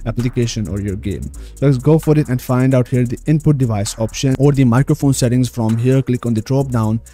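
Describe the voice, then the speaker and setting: A man's voice: mid-range voice, brisk, dark tone, soft background music. A man narrating a screen tutorial as host, repeatedly addressing viewers directly. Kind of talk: screen-recorded tutorial voice-over.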